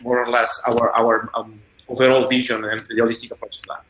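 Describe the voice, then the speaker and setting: A man answering as a panelist, halting with many pauses and fillers. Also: medium pitch, level tone, background noise. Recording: roomy echo.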